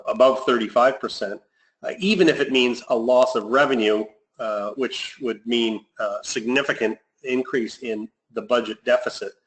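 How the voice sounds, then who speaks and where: Man with an average-pitched voice hosting a weekly investment call, measured and note-driven, with stumbles. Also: fairly bright tone, poor audio. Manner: measured, reads notes with frequent fillers and restarts. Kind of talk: weekly market commentary on a conference call. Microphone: phone or headset on a conference call.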